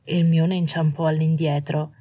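Low, husky woman narrating fiction. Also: flat delivery, measured pace, warm tone, dry recording. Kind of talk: scripted audiobook narration of fiction.

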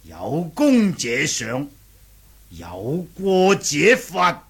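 A man's voice: a little high, huge pitch swings, creaky, fairly bright tone, breathy edge, heavily acted. An actor playing a hesitant but pompous male martial-sect chief in a Cantonese radio drama, hemming and drawing out words. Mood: lordly. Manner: hemming, drawn-out, indecisive yet lordly.

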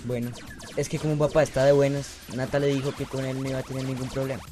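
A matter-of-fact young Costa Rican son played by a man in a radio soap. He talks quickly at a medium pitch, over clear music. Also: little pitch movement, close to an everyday voice. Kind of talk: radio soap opera acting.

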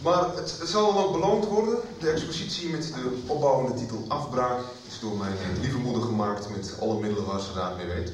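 An actor playing a cocky, flippant young musician in a Dutch radio soap. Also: male voice, medium pitch, brisk, few pauses, slight background noise, lightly acted. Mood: showy, joking. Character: cocky young man, musician son of an artist mother. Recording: echoey hall, distant, with crowd.

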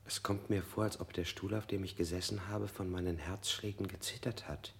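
A man's voice: sits low, steady tone, a little fast, gravelly. An actor playing a frightened man under interrogation in a radio drama, who keeps correcting himself and sometimes drops to a whisper. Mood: confused.

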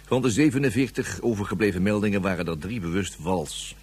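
An actor playing a weary, officious police inspector in a radio drama, dictating a report in a dry, bureaucratic way with a husky voice. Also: male voice, fairly low pitch, animated, brisk, a little mellow.

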